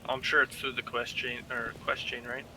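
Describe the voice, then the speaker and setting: A man's voice: mid-range voice, level tone, moderate pace, pushed and bright, a little hiss or hum, poor audio. A man chatting casually and appreciatively as a newcomer asking questions in an online game. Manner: friendly, appreciative.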